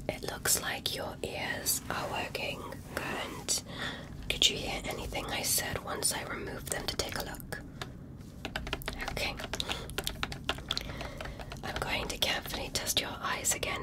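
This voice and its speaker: A woman playing a polite, curious alien doctor in an ASMR medical roleplay, speaking gently and carefully in a breathy whisper with formal, reassuring phrasing. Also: measured pace, pauses often, lightly acted, low-quality recording. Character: polite, curious female alien doctor examining a human patient.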